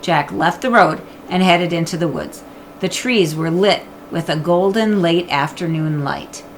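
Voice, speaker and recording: A woman narrating a children's book in an expressive storytelling style. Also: fairly low pitch, a little slow, a little hiss or hum. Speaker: audiobook reader.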